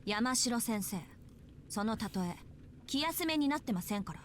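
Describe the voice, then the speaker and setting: A woman's voice: on the high side, expressive, a little fast, far from the mic, slight background noise, lightly acted. An actress playing a blunt, proud, irritable teenage schoolgirl in a Japanese visual novel. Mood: blunt, dry.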